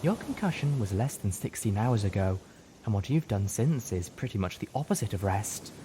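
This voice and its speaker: A man voicing a gentle, caring female unicorn healer with soft, articulate speech in an audiobook. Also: lowish voice, very animated, normal speed, slight background noise, low-quality recording. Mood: chiding. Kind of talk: audiobook character voice.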